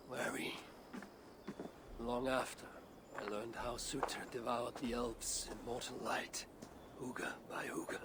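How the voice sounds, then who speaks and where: Gravelly brooding Norse god-warrior. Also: male voice, mid-range voice, fairly bright tone, a little hiss or hum. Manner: grave, measured, formal. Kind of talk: dramatic video game cutscene acting.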